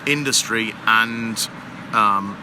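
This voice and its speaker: A man's voice: fairly low pitch, very slow. A man, a manager at a water heater company, explaining at length in a casual interview with lots of fillers. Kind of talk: casual interview on a trade show floor. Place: busy trade show floor. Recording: noisy expo floor background.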